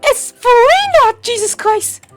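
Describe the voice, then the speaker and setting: Animated woman reacting to a game. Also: very high-pitched, slow pace, loud music behind the voice. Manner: informal, muttering reactions with outbursts. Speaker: gamer, video host. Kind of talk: casual gameplay commentary.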